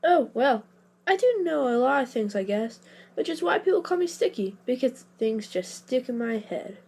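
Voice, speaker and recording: Woman playing a high-voiced, anxious bookish boy. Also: sing-song, normal speed, gravelly, darkish tone, husky, heavily acted. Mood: meek, apologetic. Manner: hesitant, meek, self-deprecating. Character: anxious, bookish, modest young boy with a good memory. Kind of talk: family audio drama acting.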